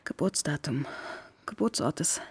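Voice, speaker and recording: An actress playing a guarded, secretive woman with a hard past in a naturalistic radio drama, terse and halting, with a husky voice. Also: low voice, animated, dry recording, full character voice. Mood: hesitant, confiding. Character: guarded, secretive woman on the run, scarred mother.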